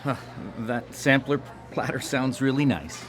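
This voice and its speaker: A man's voice: medium pitch, unhurried, over music, close to an everyday voice. A man playing a pious, modest small-town man on a date in a live radio drama, polite and hesitant. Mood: shy.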